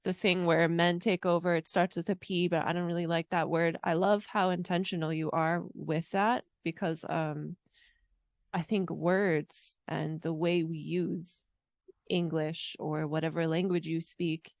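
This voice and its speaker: Low-voiced woman, dry close sound. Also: flat delivery, unhurried, no echo. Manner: rambling, filled with "like". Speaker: podcast guest, intuitive mentor. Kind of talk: casual conversation.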